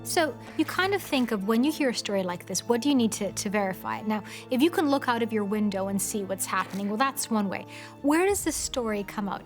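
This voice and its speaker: A woman's voice: mid-range voice, animated, brisk, faint music behind. A woman interviewed as a commentator, explaining conversationally and posing rhetorical questions. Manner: rhetorical, asks and answers own questions.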